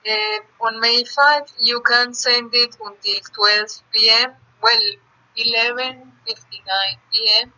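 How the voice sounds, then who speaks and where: A woman's voice: a little high, fairly even intonation, takes it slowly, bright, forceful tone, clean tone, highs cut off. A woman teacher explaining an assignment to her class patiently, step by step.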